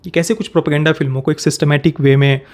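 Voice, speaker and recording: A man's voice: fairly high pitch, fairly even intonation, quick pace, darkish tone. A man in his thirties with an Indian accent gives opinionated news commentary to camera, emphatically repeating and rephrasing his points. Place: small indoor home studio. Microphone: close desk microphone.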